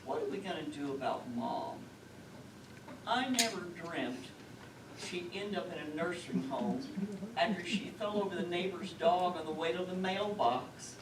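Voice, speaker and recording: A woman's voice: lowish voice, expressive, unhurried. Woman acting a dry older sister, echoey stage. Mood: dry, resigned. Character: long-suffering, worried older sister, woman with grown son. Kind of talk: amateur stage play dialogue. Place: lakeside community theater stage, picked up from afar. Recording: distant echoey stage recording, clear noise.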